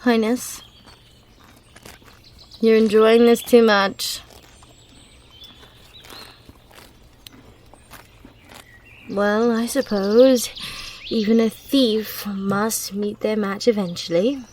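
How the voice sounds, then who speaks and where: A woman's voice: a little high, fairly even intonation, slow pace, pauses often, a little mellow. A woman playing a teasing, grudgingly fond thief with a dry, mock-impatient manner in an intimate ASMR roleplay. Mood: exasperated, wry.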